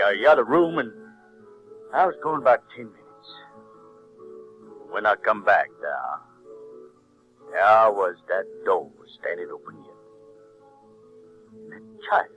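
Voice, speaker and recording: A male actor playing a remorseful runaway slave and father, telling his story haltingly in a folksy American Southern dialect. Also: mid-range voice, a bit bright, full character voice, poor audio. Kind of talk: dramatic monologue in audio drama. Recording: 1970s audio drama with music.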